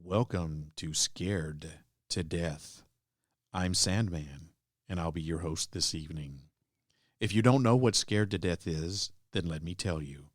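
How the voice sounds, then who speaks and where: A man's voice: deep voice, measured pace, no room sound, clean studio sound. A man hosting a podcast, reading a scripted introduction to old-time radio drama. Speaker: podcast host.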